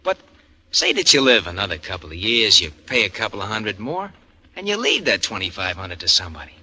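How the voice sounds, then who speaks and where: An actor playing a scheming grown son in a 1940s radio drama, wheedling and slangy, tagging lines with huh and no. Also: male voice, on the low side, big pitch swings, brisk, pushed and bright, heavily acted. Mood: slick, persuasive. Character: slick, scheming, pushy grown son, small-time hustler. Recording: noisy old radio broadcast recording.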